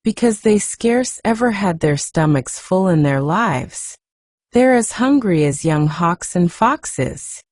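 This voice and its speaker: A woman acting out a young Yorkshire housemaid from a storybook, homely, talkative and plain-spoken. Her voice is mellow and swings freely up and down, recorded close and dry. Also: fairly low pitch, lively intonation, slow pace, no room sound. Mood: indignant, outspoken. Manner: chatty, homely, forthright.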